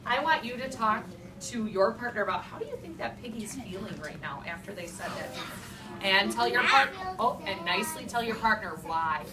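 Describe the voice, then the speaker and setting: A woman's voice: mid-range voice, lively intonation, moderate pace, a crowd in the background. A woman teacher reading aloud to young children and giving them instructions, with simple repeated phrases and emphasized key words. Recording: echoey, noisy classroom, far microphone. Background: young children talking, room noise.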